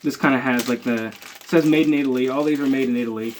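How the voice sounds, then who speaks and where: A man's voice: mid-range voice, fast, a little hiss or hum. A man, chatting casually as he unboxes items for his channel, rambling with lots of fillers. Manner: rambling, filler-heavy, relaxed. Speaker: channel host.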